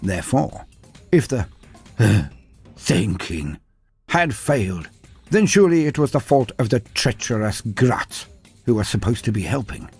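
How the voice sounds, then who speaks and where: A man's voice: lowish voice, sing-song, measured pace, a little mellow, slightly rough, faint music behind. A man giving scripted dramatic narration of fantasy war lore in a theatrical, wry storybook manner.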